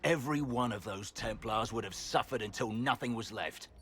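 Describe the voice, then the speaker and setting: An actor playing a weary, defiant fallen knight commander, speaking in a gruff, resentful, curt way in a video game. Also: male voice, medium pitch, moderate pace, a bit bright, a little hiss or hum, lightly acted.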